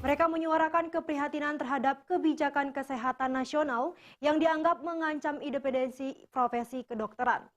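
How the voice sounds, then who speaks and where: A woman's voice: high voice, fairly even intonation, brisk. A woman presenting scripted news as a studio anchor. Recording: loud music bed behind. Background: loud music.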